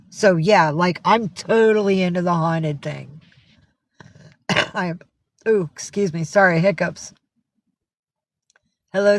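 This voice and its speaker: Slow-talking man rambling on a live stream. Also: high-pitched, no echo. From San Francisco Bay Area. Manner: rambling, drawn-out, with many fillers and restarts. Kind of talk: casual live-stream chat reading viewer comments.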